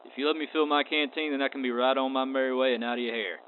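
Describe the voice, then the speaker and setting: A man's voice: medium pitch, fairly even intonation, very fast, lightly acted. A man playing a courteous, unflappable wandering space cowboy in a radio play, with a laconic drawl. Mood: courteous, bargaining. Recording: tinny band-limited audio with clear noise.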